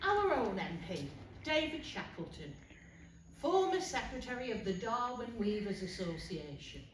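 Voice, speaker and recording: A woman playing a rousing working-class suffragist, giving an emphatic rally speech with deliberate pauses on stage. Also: mid-range voice, sing-song. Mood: pointed, indignant. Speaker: actor performing a monologue. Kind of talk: theatrical political rally speech. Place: public hall, live performance before an audience. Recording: roomy, distant, noisy hall recording.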